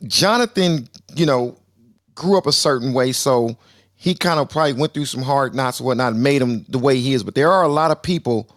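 A man's voice: medium pitch, a little fast, no room sound, studio quality. A man hosting a casual live call-in discussion, playful and self-mocking, laughing easily as he passes the mic to callers. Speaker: host. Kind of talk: casual live audio-room chat.

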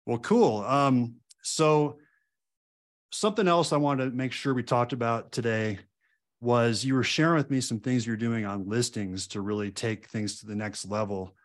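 A man's voice: medium pitch, moderate pace. A man hosting a casual interview, friendly, giving short prompts and agreeing replies. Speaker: podcast host.

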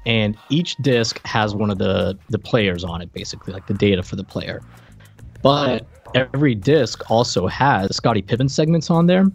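A middle-aged man hosting a podcast, chatting informally and jokingly about retro games in a halting way. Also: on the low side, animated, gravelly, faint music behind. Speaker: podcast host. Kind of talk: casual retro game review chat.

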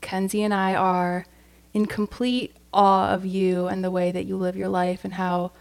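A woman's voice: mid-range voice, flat delivery, unhurried, gravelly. A woman co-hosting a podcast, effusive and encouraging as she leads a casual interview. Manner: effusive and encouraging, with fillers and restarts. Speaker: podcast co-host.

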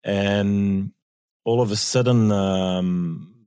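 Low-voiced Belgian man, mellow storyteller. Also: steady tone, extremely slow, clear, resonant tone, dry recording, studio quality. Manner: anecdotal, acts out quoted dialogue. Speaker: guest, tour guide and business owner. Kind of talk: conversational interview storytelling.

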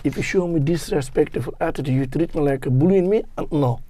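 A man in his fifties from Turkey, speaking English with a Turkish accent, talking casually in an interview in halting, broken phrases. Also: on the high side, dark tone. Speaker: guest, security guard.

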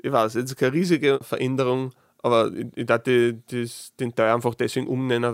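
A man with an Austrian dialect chats through his solo podcast at an ordinary middle pitch, often restarting his sentences as he thinks aloud. His asides come out dry and sarcastic. The recording is close and dead, with no room sound around him. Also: no echo. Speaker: podcast host. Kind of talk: casual solo monologue.

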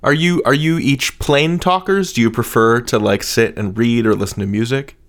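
A man's voice: mid-range voice, moderate pace. A man hosting a podcast, with a playful, mock-grandiose way of talking. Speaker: podcast host. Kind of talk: interview chat and scripted outro narration.

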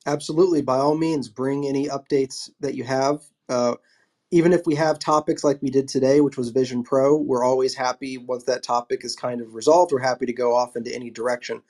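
A man hosting a casual online discussion panel, wrapping up and thanking speakers in a relaxed, rambling way. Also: mid-range voice, a little fast. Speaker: host.

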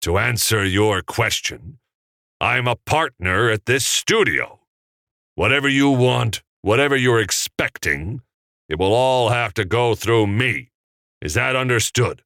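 A man voicing a lean, menacing, power-hungry studio boss in an audiobook, withering and deliberate. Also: low voice, animated, a bit bright, no echo, heavily acted. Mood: domineering.

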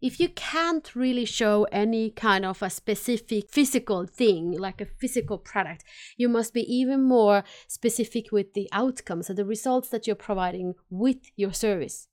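A woman's voice: mid-range voice, normal speed, darkish tone. A woman from Finland with a Finnish-Swedish accent, hosting a solo business podcast and coaching listeners directly, stressing points by repeating words. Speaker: podcast host and business consultant.

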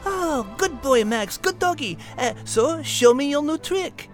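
A woman's voice: sits high, expressive, unhurried, over music. A woman voicing a refined, learned female cat with a French accent and a prim, precise manner in a children's audio drama. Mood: prim, patient. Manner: prim, precise, patient, schoolteacherly.

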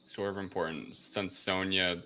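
A man's voice: low voice, flat delivery, a little slow, sharp tone, a little hiss or hum. A man co-hosting a podcast, giving his opinions in informal, sometimes sweary casual discussion. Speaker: podcast co-host.

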